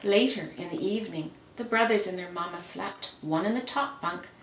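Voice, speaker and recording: A woman reading a children's story aloud with careful, expressive, dramatic phrasing. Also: on the low side, moderate pace. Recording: roomy, noisy, distant microphone.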